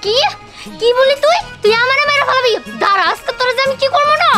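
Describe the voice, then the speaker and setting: A performer voicing a jealous, quick-tempered young cartoon wife, whining and combative, with a colloquial Bangladeshi accent. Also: very high-pitched. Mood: furious. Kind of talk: comic cartoon bickering. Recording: loud music bed behind the voice.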